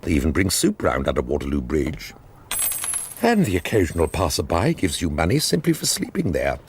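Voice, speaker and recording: A man's voice: sits low, wildly swooping pitch, brisk, slight background noise. An actor playing an older, down-on-his-luck former teacher in a radio drama, hesitant and dryly ironic. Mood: wry. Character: down-on-his-luck former teacher, wry, indignant, awkward man. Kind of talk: radio drama acting and narration.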